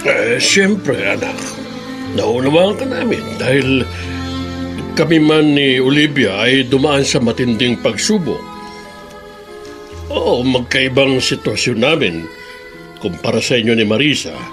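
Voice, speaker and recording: Folksy, gentle father, played by an actor. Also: mid-range voice, expressive, a little slow, long pauses, music in the background, close to an everyday voice. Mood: supportive. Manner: folksy, gentle, uses fillers like "eh" and "ah". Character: concerned older father, supportive and gentle. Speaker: Jester's father.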